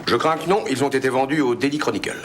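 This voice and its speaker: An actor playing a shrewd, courteous British expedition leader in a French film drama, formal, clipped and authoritative. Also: male voice, mid-range voice, quick pace, slight background noise, natural voice. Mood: firm, polite.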